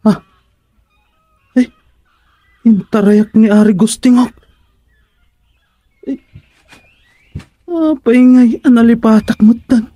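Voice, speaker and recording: An actor with an Ilocano accent playing a timid, whining soldier in a radio comedy, full of sighs and wails. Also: male voice, extremely high pitch, steady tone, slow pace, long pauses, mellow, no room sound, faint music behind, full character voice. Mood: anxious, whining. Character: timid, cowardly, whining male soldier serving his king.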